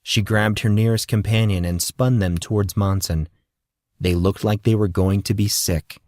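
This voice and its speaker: Low-pitched male audiobook narrator, dry recording. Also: fairly even intonation, moderate pace, a little mellow, no echo. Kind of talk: scripted fiction narration.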